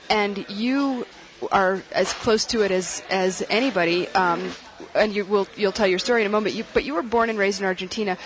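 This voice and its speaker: A woman hosting a radio interview, speaking in a measured way with occasional restarts. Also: medium pitch. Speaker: radio host.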